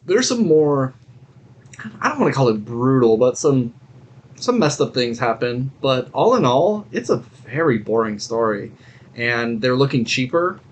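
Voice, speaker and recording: A man's voice: medium pitch, very animated, measured pace. Casual man chatting as podcast co-host. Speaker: podcast co-host reviewing movies and shows. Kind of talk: casual chat.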